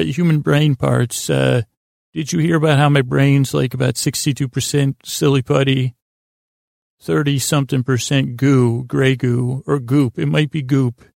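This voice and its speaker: A man with a creaky voice, hosting a podcast as a rambling, halting bedtime monologue that trails off and restarts. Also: mid-range voice, darkish tone, no echo, studio quality. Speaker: podcast host.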